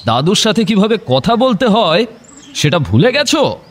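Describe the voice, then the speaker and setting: Stern, very animated elder son. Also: male voice, sits high, moderate pace. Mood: scolding. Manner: scolding, sarcastic.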